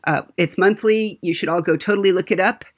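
A woman with a breathy, slightly husky voice, pitched a little low. She hosts a relaxed podcast chat and keeps it lively and enthusiastic. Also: a little fast. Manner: enthusiastic, laughs often, introduces guests. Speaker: podcast host. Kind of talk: casual chat.

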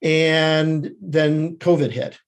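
Male podcast guest with a fairly high voice, chatty and conversational, an animated storyteller. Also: very slow, vocal fry. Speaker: screenwriter and producer, podcast guest. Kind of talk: casual interview talk.

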